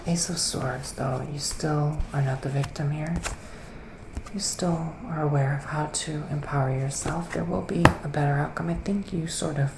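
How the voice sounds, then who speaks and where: A man playing a gentle psychic witch in a soft ASMR roleplay, partly whispering, with hesitant, drawn-out phrasing. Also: on the high side, steady tone. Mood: soothing, assured. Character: gentle male witch giving psychic tarot readings. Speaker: ASMR performer. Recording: roomy echo, clear background noise.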